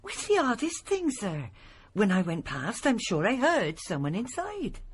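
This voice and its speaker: An actress playing a flustered, deferential housekeeper in a period radio drama, speaking breathlessly. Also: female voice, a little high, huge pitch swings, a little slow, husky, full character voice. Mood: flustered, uneasy. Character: flustered apologetic woman housekeeper in an old house.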